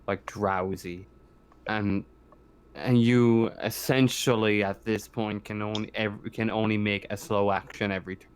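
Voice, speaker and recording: A man's voice: fairly low pitch, fairly even intonation, measured pace. A man improvising narration as game master of a tabletop horror roleplaying game. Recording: clear background noise with clicks.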